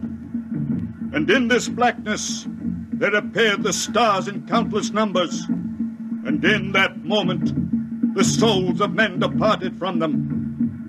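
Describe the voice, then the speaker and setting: A man's voice: high voice, rough recording. An actor playing a fanatical male cult priest, solemnly intoning prophecy in a 1950s radio drama.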